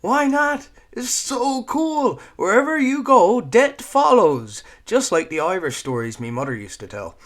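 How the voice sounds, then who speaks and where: A man's voice: sits high, big pitch swings, a bit bright, pitched above the performer's own voice, exaggerated voice. A man voicing a brash nine-year-old forest girl with a lilting Newfoundland accent, talking cheekily and nonstop. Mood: excited. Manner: chatty, cheeky, rapid-fire.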